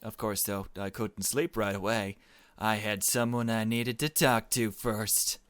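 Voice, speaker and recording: A man's voice: lowish voice, flat delivery, unhurried, fairly bright tone, a little hiss or hum. A man reading the part of a chatty, morbid young girl who narrates a visual novel. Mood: subdued.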